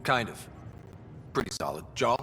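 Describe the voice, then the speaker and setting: A man's voice: medium pitch, very animated, normal speed, bright, forceful tone, slight background noise. A man playing a young, easygoing leader of a space expedition in a video game, casual and earnest. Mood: casually decisive. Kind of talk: video game cutscene acting.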